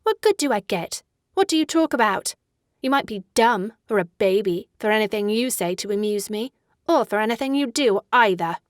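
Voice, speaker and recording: A woman's voice: medium pitch, animated, normal speed, no room sound, clean studio sound, close to an everyday voice. An actress playing a petulant, haughty teenage girl in a dramatic audiobook, peevish and imperious. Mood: irritated, peevish.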